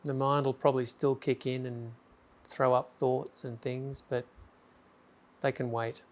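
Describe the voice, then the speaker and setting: A man guiding a meditation in a calm, gentle way. His voice is steady and even, with little rise and fall. He speaks unhurried instructions and leaves long silences between them. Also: medium pitch. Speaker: meditation guide. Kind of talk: guided meditation.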